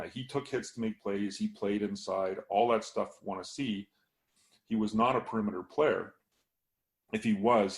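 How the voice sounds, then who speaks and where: A man talking through a casual hockey podcast analysis as a scout, a bit hoarse from losing his voice, with many fillers. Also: on the low side.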